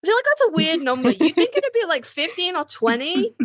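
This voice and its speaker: A woman's voice: very high voice, sing-song, a little fast, dry recording. A woman in her thirties co-hosting a podcast with casual, playful, exclamatory banter. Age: 30s. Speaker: podcast co-host.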